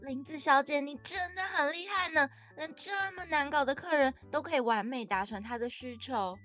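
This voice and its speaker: Woman as a bright, high, girlish young worrier. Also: normal speed, soft background music. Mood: admiring. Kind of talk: podcast radio drama acting.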